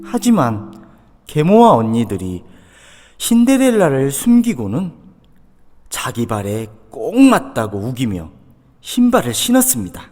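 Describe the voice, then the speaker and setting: A man's voice: medium pitch, wildly swooping pitch, measured pace, pauses often, darkish tone. A man reading a children's fairy tale aloud as a scripted storybook narrator.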